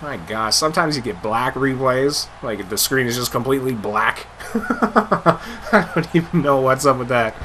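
A young man giving loud, excitable live commentary over a wrestling video game, his voice getting hoarse. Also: medium pitch, measured pace, a little hiss or hum. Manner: hyped, shouting play-by-play calls. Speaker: gameplay commentator.

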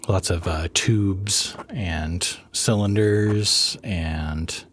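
A man hosting an art channel, narrating his drawing in a casual voice-over. He sounds under the weather and worn out, with a raspy voice and a rambling, soft-spoken manner. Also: low voice, very slow, a little hiss or hum. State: under the weather, low energy.

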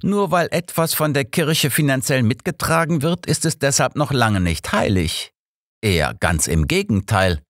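A man voicing a sardonic, sharp-minded elderly detective in an audiobook, pointed and dryly persuasive. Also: medium pitch, lively intonation, moderate pace, no echo, clean studio sound, pitched above the performer's own voice, close to an everyday voice. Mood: scornful. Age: older.

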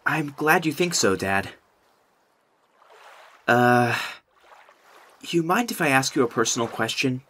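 A man voicing an anxious, guilt-ridden young goat monster prince in an animated comic dub, with a hesitant, expressive delivery. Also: medium pitch, slow pace, pauses often, a little hiss or hum. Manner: hesitant, self-doubting, expressive. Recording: music behind the voice.

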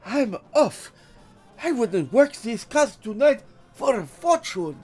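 A man voicing a frightened male animal tamer in a high, tense voice. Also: big pitch swings, slow pace, a little mellow, slightly rough, faint music behind, full character voice. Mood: scared.

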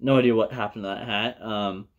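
A man's voice: fairly low pitch, steady tone, muffled recording. Young American man rambling through a story. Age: young adult. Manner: loose, profane, halting delivery. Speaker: vlogger telling a personal story. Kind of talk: casual rambling storytelling to camera. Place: small indoor room with bare wall.

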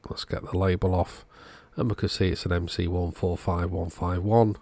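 A man's voice: low-pitched, fairly even intonation, moderate pace, darkish tone, dry recording. A man narrating a repair job in a casual, matter-of-fact, understated way. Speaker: host of electronics repair channel.

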